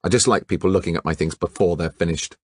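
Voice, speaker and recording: A man voicing a blunt, gruff woman painter who speaks curtly and harshly. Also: low-pitched, fast talker, no echo, clean studio sound. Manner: curt and harsh.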